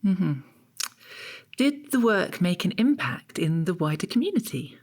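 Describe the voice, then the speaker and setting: An actress playing a prim, patient art tutor in a comic audio drama, formal, measured and coolly evasive. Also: female voice, fairly low pitch, big pitch swings, a little mellow, breathy edge, full character voice. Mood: coolly probing.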